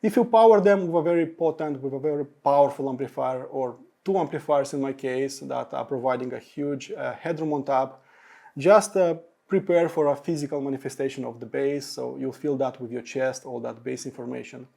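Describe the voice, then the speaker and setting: A man's voice: medium pitch, brisk, vocal fry, dark tone. A man giving a product review monologue as an audio reviewer, speaking in emphatic but hesitant phrases.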